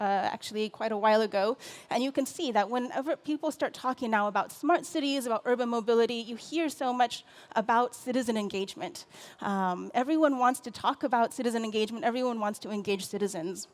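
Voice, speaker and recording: Woman giving an earnest conference talk. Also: a little high. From Taiwan. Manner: earnest, explanatory, frequent restarts and "um"s. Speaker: conference speaker. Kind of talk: conference presentation.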